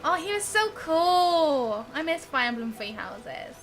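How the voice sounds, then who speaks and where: A woman's voice: sits high, big pitch swings, slow pace. A woman streaming a game live with casual, chatty commentary and light reactions, game music faintly behind.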